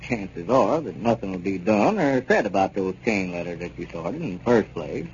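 A sensible, reassuring small-town neighbor speaks plainly with lively swings of pitch. It is genial 1930s radio comedy acting, captured on a dull, faintly noisy old recording. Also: male voice, normal speed. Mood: advising.

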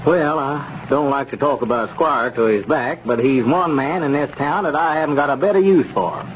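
A man playing a sensible, suspicious small-town friend in a 1930s radio serial, with a folksy, measured way of reasoning. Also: medium pitch, close to an everyday voice. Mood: skeptical, reasoning. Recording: muffled, noisy 1930s radio recording.

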